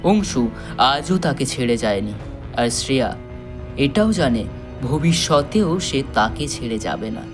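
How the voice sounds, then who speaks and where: A man narrating a scripted story solemnly and dramatically, with measured pauses. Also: medium pitch, big pitch swings, over music.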